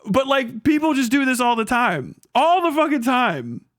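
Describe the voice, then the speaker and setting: A man's voice: very high-pitched, sing-song, moderate pace, a bit bright, no room sound, clean studio sound. A man livestreaming a casual rant in a blunt, sarcastic, heavily profane way. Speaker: live streamer.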